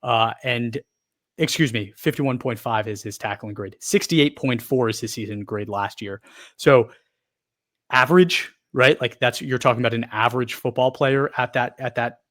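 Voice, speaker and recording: A man co-hosting a football podcast in casual chat, emphatic and animated, piling on rhetorical questions. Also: mid-range voice, moderate pace. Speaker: podcast co-host, football analyst.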